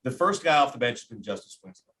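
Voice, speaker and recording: A man who works as a sports announcer, giving conversational analysis as a podcast guest in a measured, explanatory way. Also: mid-range voice, big pitch swings, sharp tone.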